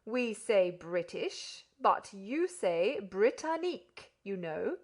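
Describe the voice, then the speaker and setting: A woman's voice: fairly high pitch, sing-song, fairly bright tone, heavily acted. A woman playing a pompous condescending Englishman. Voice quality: pompous, slow, over-explaining. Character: pompous condescending middle-aged Englishman host.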